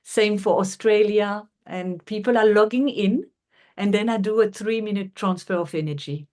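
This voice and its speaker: Woman, French accent, middle-aged, interview guest, earnest, unhurried, medium pitch. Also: darkish tone. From France. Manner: earnest, unhurried, with frequent fillers and restarts. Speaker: guest, healer. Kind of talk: conversational interview.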